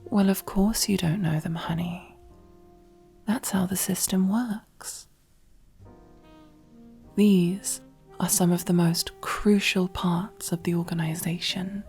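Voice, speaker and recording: A woman playing a commanding, possessive and silkily affectionate female mafia boss in an ASMR roleplay, speaking intimately in a breathy, husky voice that often drops to a whisper. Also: on the low side, fairly even intonation, faint music behind. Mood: calm, explaining. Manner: slow, controlled, softly commanding, intimate.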